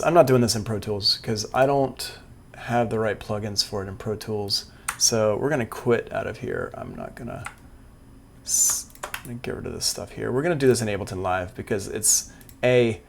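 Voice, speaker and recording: A man teaching music production in a live software tutorial, talking casually and thinking aloud as he works. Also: on the low side, vocal fry, a little hiss or hum. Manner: casual, informal. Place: indoors, livestream class.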